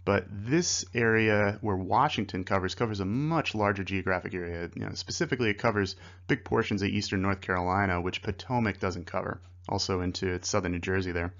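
A man's voice: low-pitched, brisk, hardly any pauses, fairly bright tone, lo-fi sound. A man narrating a slide lesson as a flight instructor, in a conversational, explanatory way.

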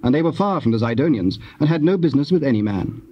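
A man narrating scripture as a measured, formal scripted reading. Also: medium pitch, lively intonation, slight background noise. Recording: dull old cassette audio.

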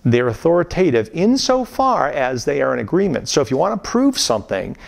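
A man's voice: fairly high pitch, very animated, a little fast, creaky. A middle-aged man giving a recorded theology course lecture in a room at home, teaching earnestly and emphatically, often restarting his sentences. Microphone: camera framed at chest, facing viewer.

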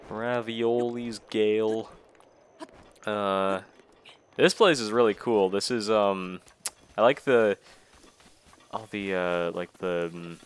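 A man's voice: lowish voice, slight background noise. A man with a nasal voice from a cold, giving rambling, casual gameplay commentary as a let's play host. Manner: rambling, casual, self-deprecating. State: recovering from a cold.

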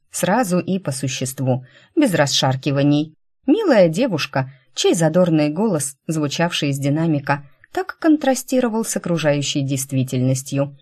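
A woman reading fiction as an audiobook narrator, in a conversational, expressive storytelling manner. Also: sits low, slightly rough. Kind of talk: scripted first-person fiction narration.

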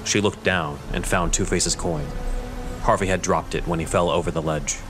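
Low-voiced male narrator over music. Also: fairly even intonation, moderate pace. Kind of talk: scripted dramatic narration. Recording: prominent music under narration.

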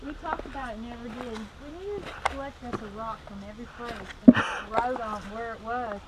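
A woman's voice: on the high side, a little fast, darkish tone. A chatty, self-teasing woman talking casually while hiking a rocky wooded trail, recorded on a wearable action camera. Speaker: off-road trail rider. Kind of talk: casual chat on a hike. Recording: muffled, noisy outdoor camera audio.

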